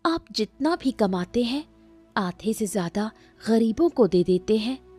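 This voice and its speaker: Caring, worried younger sister for a cartoon moral tale, played by a woman; measured pace, earnest scolding. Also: fairly high pitch, lively intonation, darkish tone, husky, soft background music. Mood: concerned.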